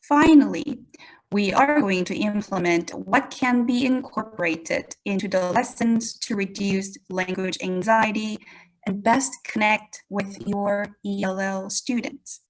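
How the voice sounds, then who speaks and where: A woman's voice: mid-range voice, measured pace, poor audio. Korean-accented woman giving a webinar presentation. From South Korea. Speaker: presenter, English language educator. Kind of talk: webinar presentation, prepared talk.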